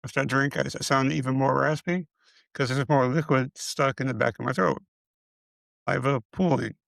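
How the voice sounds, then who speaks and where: A middle-aged man with a raspy voice, giving a casual update on his health. He stutters and repeats syllables, and he is short of breath as he struggles to get words out. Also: medium pitch, moderate pace, a bit bright, no echo. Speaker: video creator sharing his illness progress.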